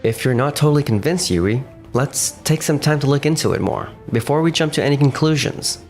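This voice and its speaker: A man's voice: a little high, darkish tone, close to an everyday voice. A man voicing a level-headed, cautious young company boss who also narrates a manga dub. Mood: measured. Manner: measured, composed. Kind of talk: manga dub dialogue and first-person narration. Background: faint background music.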